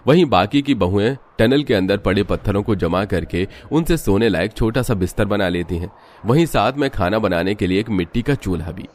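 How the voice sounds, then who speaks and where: A man's voice: fairly low pitch, lively intonation, fairly quick, darkish tone. A man narrating a scripted cartoon story in a dramatic storytelling style.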